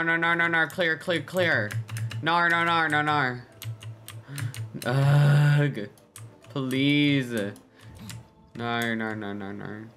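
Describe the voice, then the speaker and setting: A man's voice: a little high, bright, forceful tone. A man casually reacting live to a video game, anxiously repeating himself. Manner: repeats words over and over when anxious.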